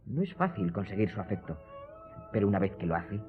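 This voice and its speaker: An actor playing a polite, earnest young viscount in a radio drama, speaking with slight hesitation. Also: male voice, fairly low pitch, very animated, brisk, over music. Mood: earnest, admiring. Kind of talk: Spanish radio drama acting. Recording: dull lo-fi radio recording.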